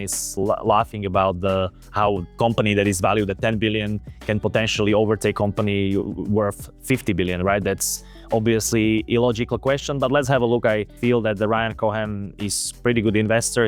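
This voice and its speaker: A man with a Slovak accent, a native Slovak speaker, hosting an investment video in halting English. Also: fairly low pitch. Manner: halting, many fillers and restarts. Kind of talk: casual video commentary.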